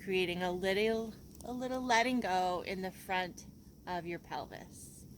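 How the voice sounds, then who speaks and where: A woman yoga teacher leading a guided relaxation in a gentle, unhurried, soothing way, leaving pauses between instructions. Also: lowish voice, slight background noise, poor audio.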